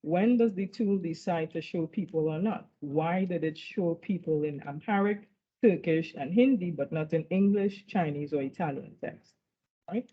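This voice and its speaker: A woman presenting at an academic conference, walking through her slides in a measured way and often checking in with "right?". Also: fairly low pitch, slightly rough. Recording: dull lo-fi recording.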